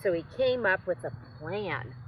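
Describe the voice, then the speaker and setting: An older woman reads to children in a fairly low voice. She takes her time, gently stopping for asides and questions. There is faint noise in the recording. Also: lively intonation, highs cut off. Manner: gentle, unhurried, addressing children. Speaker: children's storytime reader. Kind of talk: read-aloud storytelling with asides to children.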